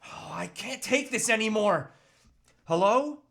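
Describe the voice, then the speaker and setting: Man acting an earnest, high-pitched troubled husband. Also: lively intonation, unhurried, creaky, fairly bright tone, heavily acted. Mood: torn, apologetic. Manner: earnest, hesitant, pleading. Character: troubled husband and father resisting temptation, earnest, self-pitying. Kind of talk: live table read of a movie script.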